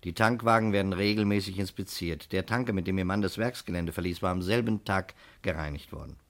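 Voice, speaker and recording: An actor playing a polite, measured, matter-of-fact investigator in a 1960s German radio crime drama. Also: male voice, sits low, a bit bright. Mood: firm, matter-of-fact.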